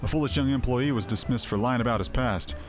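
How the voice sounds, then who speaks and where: A man's voice: medium pitch, fast talker, lightly acted. A man voicing a stern, sceptical veteran mercenary captain in a fantasy game, speaking in a blunt, formal, archaic way. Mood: brisk. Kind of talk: voiced fantasy game dialogue. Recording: game music behind voice. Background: game music.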